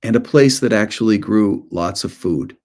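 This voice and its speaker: A man's voice: fairly low pitch, moderate pace, a little mellow. Middle-aged man giving an informal picture talk. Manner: conversational storytelling, short phrases with asides. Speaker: author and illustrator giving a school presentation. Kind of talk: informal presentation to schoolchildren, narrating pictures.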